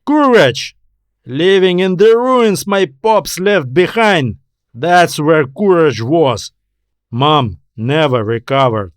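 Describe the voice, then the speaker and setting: A man playing a bitter, defiant man with a forceful, scornful delivery in a science fiction story. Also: fairly high pitch, animated, takes it slowly, no room sound, clean studio sound. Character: bitter, defiant man, furious at his dead father.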